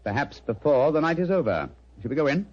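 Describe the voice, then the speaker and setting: An actor playing a keen, composed English gentleman detective, precise and formal, in an old-time radio mystery. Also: fairly high pitch, very animated, a little fast. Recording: muffled old radio recording, hiss.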